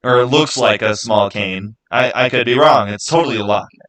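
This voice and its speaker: Male livestream host, mid-pitched voice, casual and halting, doubles back on his words while chatting to viewers. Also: fairly bright tone. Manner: halting, repeats words and phrases.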